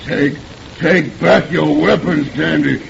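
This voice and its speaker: An actor playing a simple, loutish, emotional pirate brute with childish devotion and a rough manner, in old radio drama style. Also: male voice, a little high, fairly even intonation, a crowd in the background, exaggerated voice. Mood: earnest. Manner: slow, rough, pleading or blustering. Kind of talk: old-time radio horror drama acting. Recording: muffled, hissy old radio recording.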